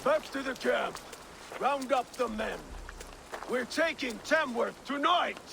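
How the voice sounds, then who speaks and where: An actor playing a brusque, scornful Viking warlord giving commands in a video game. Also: male voice, extremely high pitch, slow, gravelly, background noise, full character voice. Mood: rousing command. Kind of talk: dramatic video game acting.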